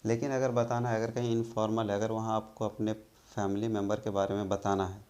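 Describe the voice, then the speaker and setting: A man from India teaching spoken English in a slow, patient way, often checking that the learners follow. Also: lowish voice, level tone, a little mellow.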